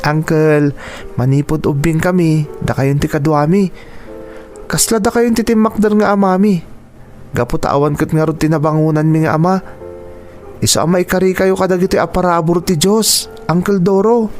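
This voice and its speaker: An actor with a Filipino accent playing a devoted young nephew, earnest and respectful, in a radio drama. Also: male voice, on the high side, moderate pace, darkish tone, soft background music, natural voice. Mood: earnest, reassuring. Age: young adult.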